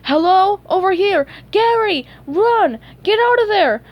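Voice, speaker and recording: A woman voicing an excitable, naive cartoon sea sponge boy in an eager, exclamatory storybook reading. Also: high voice, a little slow, highs cut off, pitched above the performer's own voice. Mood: frantic shouting.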